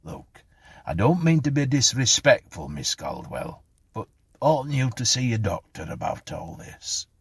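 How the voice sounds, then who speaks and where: Man playing a weary, husky, kindly policeman. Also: mid-range voice, very animated, unhurried, no room sound, voice pushed up, exaggerated voice. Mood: concerned. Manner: blunt, gently scolding. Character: kindly, weary male policeman on night beat.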